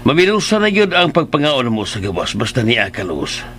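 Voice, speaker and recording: An actor playing an easygoing, affectionate middle-aged father and husband in a radio drama, with expressive delivery, a Filipino accent and English phrases mixed in. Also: medium pitch, fairly quick, natural voice. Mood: casual, teasing.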